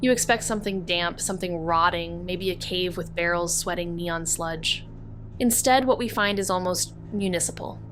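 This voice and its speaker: A woman's voice: fairly low pitch, moderate pace, a bit bright, slight background noise, natural voice. A woman voicing a wry young amateur sleuth who narrates a noir audio drama with dry, sardonic remarks. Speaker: narrator and investigator.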